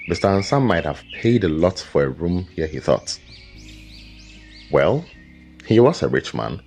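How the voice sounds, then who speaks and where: A man narrating a scripted folktale as a storyteller, with a measured, dramatic delivery. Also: deep voice, sing-song, a little mellow. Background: background music with guitar.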